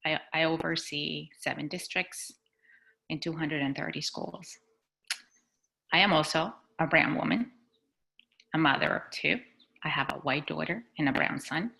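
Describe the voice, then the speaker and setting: A woman from Chile, a school superintendent, giving an earnest, measured personal talk on a video call. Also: deep voice, gravelly, pushed and bright, low-quality recording. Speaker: executive superintendent. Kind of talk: personal speech at a community board meeting.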